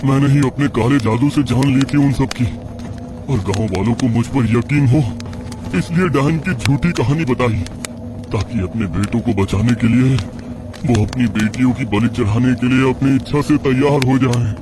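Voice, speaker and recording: A man's voice: medium pitch, normal speed, background noise, pitched above the performer's own voice, natural voice. Man acting a desperate, agitated village sorcerer. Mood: guilty confession. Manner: agitated, pleading, dramatic. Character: desperate village sorcerer, anxious father of a daughter. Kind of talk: Hindi horror audio drama acting.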